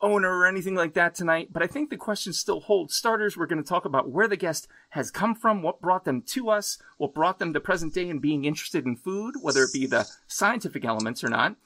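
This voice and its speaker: This is an exuberant man from the United States hosting a podcast, his voice bright and sharp and sitting a little high. He chats casually and swears freely. The sound is dry, as over a remote call. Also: expressive, fairly quick, few pauses, no room sound. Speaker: podcast host. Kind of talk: casual interview chat. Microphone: remote video call.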